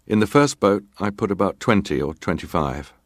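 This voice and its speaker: A low, deep male voice in a dry, close recording. a stoic ship's officer testifying in a dramatized hearing. He speaks formally and plainly, in clipped, factual phrases. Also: animated, moderate pace, dry recording. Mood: stoic, terse. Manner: clipped, matter-of-fact, formal. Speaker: actor reading testimony.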